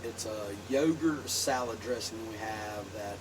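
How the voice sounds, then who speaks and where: Laid-back Southern host, far from phone. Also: male voice, lowish voice, unhurried. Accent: Appalachian Southern. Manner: laid-back, rambling with fillers. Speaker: show host. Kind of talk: casual chat while drinking on a porch. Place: outdoor porch. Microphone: phone some distance away. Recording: distant phone mic, noisy outdoors.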